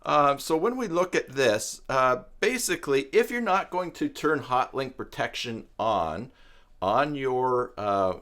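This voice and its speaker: A man's voice: medium pitch, animated, unhurried, a bit bright. A man hosting an unscripted live tutorial, explaining technical steps as he thinks aloud and rephrases himself. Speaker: live stream host, web tutorial teacher.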